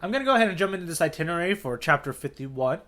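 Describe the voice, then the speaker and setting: A man co-hosts a casual podcast with lively, swooping intonation. His voice is a touch high, and he chats with playful enthusiasm. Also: rapid-fire. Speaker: podcast co-host. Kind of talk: casual readalong podcast chat.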